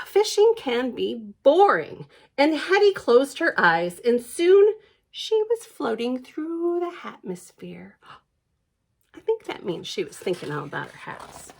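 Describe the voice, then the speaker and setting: A woman reading a picture book aloud for a library storytime, expressive, with questioning asides to young listeners. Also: high voice, slow pace, vocal fry. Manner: expressive, questioning, sing-song emphasis.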